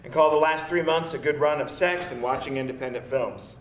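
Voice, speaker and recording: A man's voice: a little fast, lightly acted. A man playing a blunt, easygoing boyfriend with casual, bantering delivery in a screenplay read. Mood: teasing. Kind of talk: conversational screenplay table read. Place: live table read in a roomy indoor space. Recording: distant mic, echoey room, rumble.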